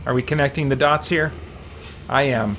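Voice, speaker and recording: A man live-streaming a game, reading its text aloud and chatting casually as he thinks out loud. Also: medium pitch, moderate pace, faint music behind. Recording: steady background noise.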